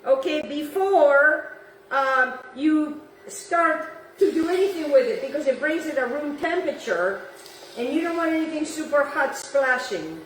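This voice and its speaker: A woman's voice: high voice, animated, a little slow, far from the mic, slight background noise. A woman from Italy whose first language is Italian, hosting a casual home cooking demonstration and chatting as she works, repeating herself and talking to the viewer. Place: home kitchen. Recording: echoey kitchen, voice off-mic.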